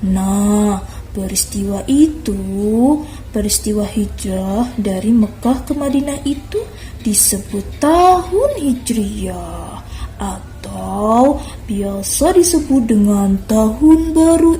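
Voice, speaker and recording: A woman's voice: a little high, dark tone, a little hiss or hum, poor audio. A woman voicing Loli, a little girl who patiently explains a story to her friend in a children's skit. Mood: explaining. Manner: explains slowly and carefully, like a lesson. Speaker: kindergarten teacher.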